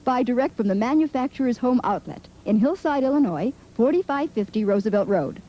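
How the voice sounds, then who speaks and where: Mellow, higher-pitched woman announcer. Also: lively intonation, fairly quick. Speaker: commercial announcer. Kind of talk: scripted 1970s local TV ad read. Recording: dull, lo-fi vintage TV audio.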